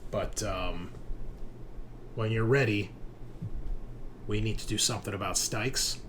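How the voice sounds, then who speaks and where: A man's voice: lowish voice, takes it slowly, background noise. A man casually narrating and running a tabletop roleplaying game as game master. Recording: music playing under the voice. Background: background music.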